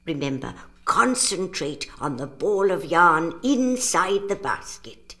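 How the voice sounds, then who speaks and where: An actress playing an elderly, stern but kindly weaver woman and mentor in an audio drama, speaking in a measured, theatrical, instructing way. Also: on the low side, sing-song, bright, forceful tone, heavily acted. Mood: patient, hushed.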